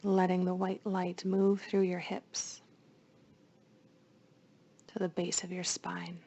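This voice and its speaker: A woman leading a guided meditation in a soothing, hushed voice, with phrases often trailing into breath. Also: fairly low pitch, monotone, slow, gravelly, husky.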